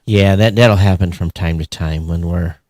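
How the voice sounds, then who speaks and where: A man's voice: sits low, steady tone, normal speed, darkish tone, dry recording, studio quality. An American man chatting casually and unscripted as a podcast co-host, in a rambling, conversational way. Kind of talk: casual unscripted show chat.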